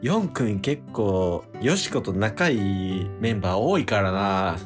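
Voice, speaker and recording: A man's voice: on the low side, animated, slow, over music. A man playing a casual, nervous teenage boy with a Kansai accent in an amateur radio drama. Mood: nervous, curious.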